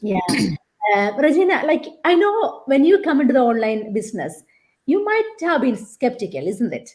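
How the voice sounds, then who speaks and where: A woman's voice: high-pitched, big pitch swings, normal speed, a little mellow. A woman hosting a live online interview, chatty with many fillers and restarts. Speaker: host.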